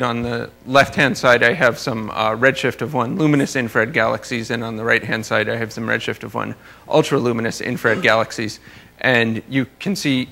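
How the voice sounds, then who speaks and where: A man giving an academic conference talk as a researcher, halting and rephrasing as he explains his slides. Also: mid-range voice, creaky, bright, forceful tone. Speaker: astrophysics researcher presenting at a conference.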